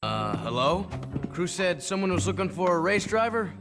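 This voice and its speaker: A man's voice: high-pitched, very animated, normal speed, over music. A male actor playing a determined young hero in a video game, plain-spoken, wry and probing. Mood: tentative.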